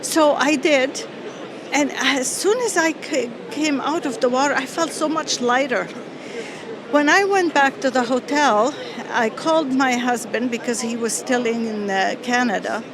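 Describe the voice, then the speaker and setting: A woman from Lebanon telling her personal faith story in an interview, earnest and halting, with many pauses and fillers. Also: high voice, crowd noise, rough recording. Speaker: interview guest. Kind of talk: personal testimony. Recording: outdoor street noise behind.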